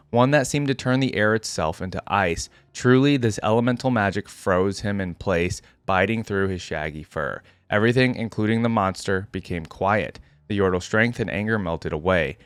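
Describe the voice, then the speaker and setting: A man's voice: lowish voice, normal speed, smooth, no echo. A man hosting a reaction video, chatting informally with asides and reading text aloud. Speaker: YouTube host reacting to game lore.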